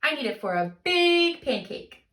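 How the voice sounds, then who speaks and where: A woman voicing an eager, excited young boy in a storybook, exclaiming emphatically, in a roomy recording. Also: high voice, measured pace, voice pushed up, exaggerated voice. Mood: eager asking.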